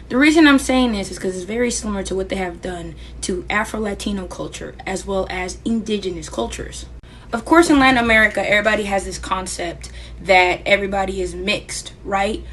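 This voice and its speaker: A woman's voice: mid-range voice, fairly bright tone, a little hiss or hum. A woman explaining a history point in a teaching manner and giving examples. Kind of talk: educational commentary.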